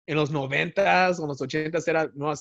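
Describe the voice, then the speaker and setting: Slightly muffled higher-pitched man, chatting. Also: steady tone, creaky, fairly bright tone. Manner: halting explanations, mixes in English words, often seeks agreement. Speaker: podcast guest, stand-up comedian. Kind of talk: casual chat.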